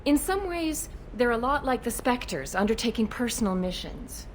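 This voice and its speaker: Alien lady cop in a video game, matter of fact, slightly fed up, rumbly noise behind her. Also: sing-song, moderate pace. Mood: frank, cautious. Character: wary, plain-spoken female alien police officer.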